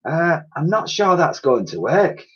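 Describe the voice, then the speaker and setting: A man's voice: on the high side, very animated, measured pace, dull audio, slight background noise, voice pushed up. A man voicing a feisty, dry-humoured middle-aged cleaning lady from a children's storybook. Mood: dry, bluffing.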